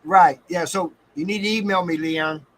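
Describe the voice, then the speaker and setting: Casting: American male livestream host, folksy and colloquial, very bright forward voice, some background noise. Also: high-pitched, fairly even intonation, moderate pace. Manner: folksy, colloquial, addresses viewers by name. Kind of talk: casual livestream chat with viewers.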